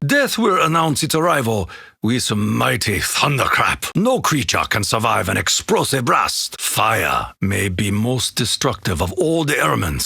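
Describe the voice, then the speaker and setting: An actor playing a solemn, brooding Japanese samurai in a video game, speaking formally and portentously in a gravelly, husky voice with a Japanese accent. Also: male voice, on the low side, bright, forceful tone, no echo, studio quality, heavily acted. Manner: formal, portentous, deliberate delivery. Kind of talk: theatrical video game character lines.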